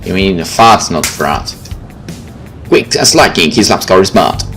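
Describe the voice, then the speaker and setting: A man with a very low, animated voice hosts a game playthrough. He reads the narration aloud and slips in playful remarks. Soft music runs underneath. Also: unhurried, gravelly. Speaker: gaming video host. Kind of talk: reading game narration aloud with casual commentary. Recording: faint game music behind the voice.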